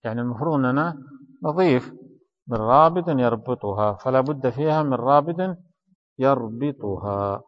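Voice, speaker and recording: A man's voice: medium pitch, expressive, normal speed. A man teaching grammar in a study circle, explaining each line, repeating key phrases and quizzing his student. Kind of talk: traditional study-circle lesson explaining a text. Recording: reverberant hall, dull lo-fi sound.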